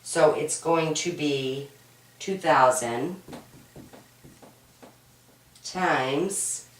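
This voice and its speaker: A woman teaching an accounting homework walkthrough, hoarse and raspy from a cold, patient and step-by-step, often checking in with "right?". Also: deep voice, very slow. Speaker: accounting instructor. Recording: roomy echo, mic at a distance.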